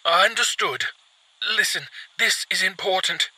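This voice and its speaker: Male narrator putting on a high, nervous, stumbling male caller for an audio horror story. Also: unhurried, pushed and bright, dry recording, full character voice, low-quality recording. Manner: hesitant, stumbling over words. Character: nervous stammering male client on the phone.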